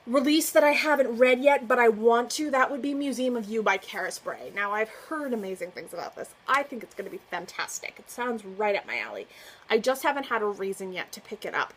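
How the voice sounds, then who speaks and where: A woman's voice: mid-range voice, a little hiss or hum, rough recording. A woman talking casually to camera as a book vlogger, chatty and earnest, often trailing off and restarting. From United States. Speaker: booktuber. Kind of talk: casual book tag vlog.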